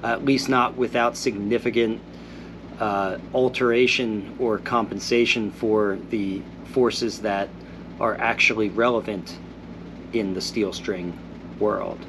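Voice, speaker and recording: A man's voice: fairly low pitch, fairly even intonation, slow, background noise. Congested man reading viewer comments. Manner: reads viewer comments aloud and replies in an easygoing, thoughtful way. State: congested after illness. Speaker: host answering viewer questions.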